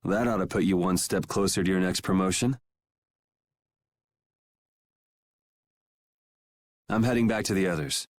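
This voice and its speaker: A man playing a cool, grim young vigilante swordsman in a video game, terse and unhurried. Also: low-pitched, dry recording, close to an everyday voice. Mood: subdued.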